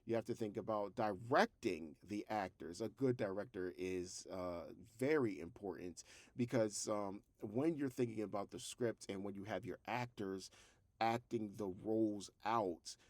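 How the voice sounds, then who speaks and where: A man's voice: on the low side, a little slow, a little mellow, no room sound. A man talking casually as a vlog host, conversational and rambling, with a self-deprecating way of telling things. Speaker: host, fiction podcast creator. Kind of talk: casual vlog talk to camera.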